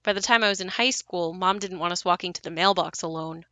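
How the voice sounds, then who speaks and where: A woman's voice: lowish voice, fast talker, a bit bright, no echo, natural voice. An actress playing a young woman who recalls her haunted family home in a confiding, wry, matter-of-fact monologue for an audio play. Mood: wry, reflective. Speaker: narrator and lead of an audio play. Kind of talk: first-person dramatic monologue.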